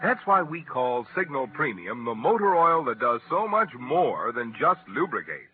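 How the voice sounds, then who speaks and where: Old time radio announcer guy, really lively delivery, tinny 40s broadcast sound. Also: mid-range voice, moderate pace. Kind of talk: 1940s radio announcing and sponsor reading. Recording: muffled vintage 1940s radio broadcast.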